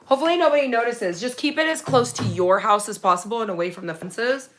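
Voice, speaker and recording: Chatty woman livestreaming, voice going raspy. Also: a little high, quick pace. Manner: chatty, excited when thanking viewers for gifts. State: losing her voice, getting raspy. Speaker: livestream host. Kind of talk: casual livestream chat reading comments. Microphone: phone.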